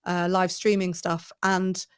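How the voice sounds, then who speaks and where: A woman's voice: lowish voice, flat delivery, slow pace, smooth. Enthusiastic woman thinking aloud. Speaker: presenting coach, guest. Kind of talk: conversational podcast interview.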